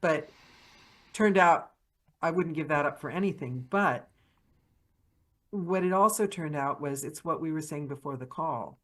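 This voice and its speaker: A woman's voice: sits low, measured pace, long pauses. A woman, a narrator hosting an interview, speaking in an emphatic, earnest way. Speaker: podcast host and narrator. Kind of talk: casual interview chat.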